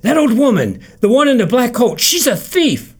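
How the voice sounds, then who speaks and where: A man voicing an excitable young male shop worker who exclaims his news. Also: lowish voice, drawn-out words, breathy edge, exaggerated voice. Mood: excited.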